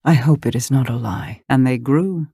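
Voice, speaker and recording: A woman playing a regal, cryptic queen in a video game, speaking in a stately, deliberate, formal way. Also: rumbling low, dark tone, breathy edge, dry recording, clean studio sound, exaggerated voice. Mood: storytelling. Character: regal, cryptic queen telling an old tale.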